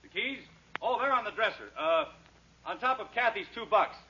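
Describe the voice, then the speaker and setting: A bright, high-pitched American dad from vintage radio comedy, blustery and combative with a soft heart underneath. His delivery is emphatic and sarcastic, on a muffled old recording. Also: animated, normal speed, slight background noise. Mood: hurried, gruff. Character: blustering, hot-tempered but soft-hearted American family father. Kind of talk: 1940s radio comedy acting.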